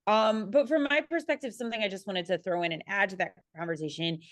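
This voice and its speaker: A young woman speaking as a panelist in a conversational, animated and self-deprecating way. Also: medium pitch, fast talker, no echo. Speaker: panelist, writer.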